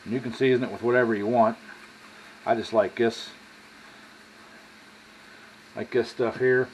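A man's voice: mid-range voice, fairly even intonation. An American man in his sixties with a folksy manner, casually talking through a cooking demonstration as a home cook. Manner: folksy, drawn-out asides with y'all. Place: home kitchen, indoors at the stove. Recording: clearly noisy home kitchen recording.

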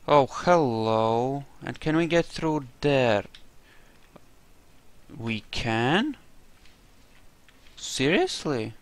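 A man's voice: medium pitch, very animated, very slow, pauses often. A man giving casual gameplay commentary, muttering asides to himself as he plays. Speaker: gamer commentating on a playthrough.

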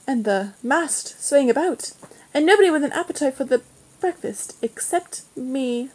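A bold schoolboy from a children's storybook, acted by a woman reader. He is the cheeky older brother, teasing and quick to bristle. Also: sits high, lively intonation, a little mellow, pitched above the performer's own voice, rough recording. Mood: gleeful teasing.

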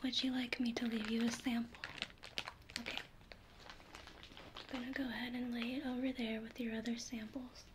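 A young woman whispering breathily in an ASMR roleplay as an attentive makeup consultant, soft and unhurried, recorded up close in a room at home. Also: on the high side, level tone. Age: young adult. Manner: soft, unhurried, attentive customer-service talk. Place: small furnished living room at home. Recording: noticeable background hiss and room noise.

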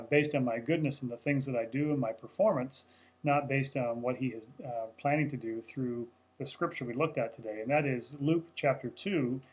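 Husky earnest pastor talking to camera. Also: male voice, mid-range voice, rough recording. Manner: earnest, conversational, frequent uh fillers. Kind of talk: short sermon recap to camera. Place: small office, indoors. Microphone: webcam at desk, close framing.

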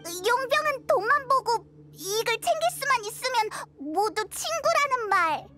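Squeaky, very high childlike fairy. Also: female voice, animated, normal speed. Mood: curious. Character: tiny childlike fairy companion, curious and excitable. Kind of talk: video game voice acting, cute cartoonish. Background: background music.

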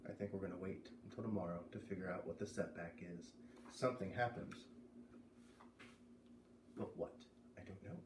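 A man's voice: low-pitched, normal speed, long pauses, far from the mic. A man in his thirties narrates a children's novel from a living room. The camera mic sits a little way off, so the room echoes around him, and there is faint noise underneath. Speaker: storyteller reading a children's novel aloud. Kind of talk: read-aloud narration with casual asides. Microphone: camera a few metres away.

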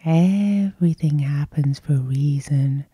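A woman softly guiding listeners through sleep hypnosis in a breathy, whispery, lulling ASMR style. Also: low-pitched, dry recording. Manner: soft, slow, lulling. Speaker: hypnosis session host.

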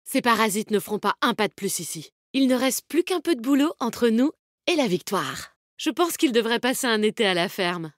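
A woman's voice: a little high, lively intonation, moderate pace, no echo, clean studio sound, close to an everyday voice. An actress playing a tough, confident, protective woman fighter in a video game, with a bold, cheeky, commanding manner. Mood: determined. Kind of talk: video game character voice lines, combat callouts.

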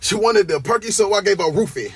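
High-voiced man freestyling in a booth. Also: lively intonation, fast talker. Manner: playful, laughing between bars. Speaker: rapper. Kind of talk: freestyling in the studio. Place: recording booth. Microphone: studio condenser mic with headphones.

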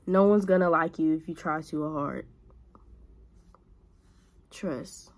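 A woman's voice: low voice, takes it slowly. A woman in her twenties talking casually to a phone camera while doing her makeup in a small bedroom, giving blunt big-sister advice. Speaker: vlogger. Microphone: phone camera at arm's length.

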